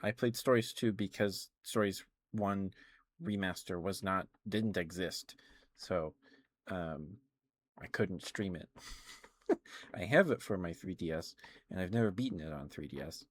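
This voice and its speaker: Male ASMR streamer. Deep-pitched and slow, casual, gently rambling, halting delivery. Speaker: live streamer, ASMR host.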